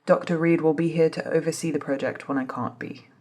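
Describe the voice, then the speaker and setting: A woman playing a composed, authoritative female doctor in a soft-spoken ASMR drama, speaking in a measured, formal, husky way. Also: deep voice, steady tone. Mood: composed, businesslike. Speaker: voice actor in scripted ASMR roleplay.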